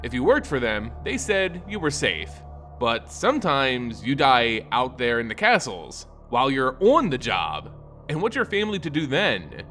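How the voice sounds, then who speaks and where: A man giving a dramatic, scripted narration of a dark fantasy story in a solemn, theatrical way with deliberate phrasing. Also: mid-range voice, sing-song, faint music behind.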